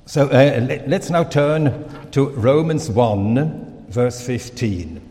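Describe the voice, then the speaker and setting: A man born in Finland, first language Swedish, preaches in English in a church hall. He speaks very slowly, halting often with fillers, and his voice trails off into a long hall reverb. His pitch sits in a middle register. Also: warm tone. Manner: slow and halting, many pauses and fillers. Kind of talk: sermon.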